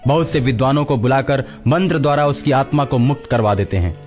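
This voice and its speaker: A man's voice: medium pitch, brisk, darkish tone, soft background music. A man narrating a scripted cartoon story as the storyteller.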